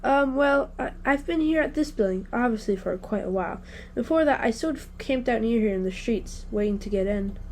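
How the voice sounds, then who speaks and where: A woman's voice: on the high side, lively intonation, a little fast, darkish tone, a little hiss or hum. A woman playing an anxious, bookish young boy in a family audio drama, hesitant and meek. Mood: nervous, hesitant. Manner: hesitant, meek, self-deprecating.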